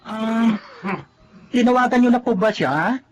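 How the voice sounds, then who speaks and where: An actress playing a caring young woman relative in a radio drama, polite and gently worried. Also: a little high, a little slow, muffled recording.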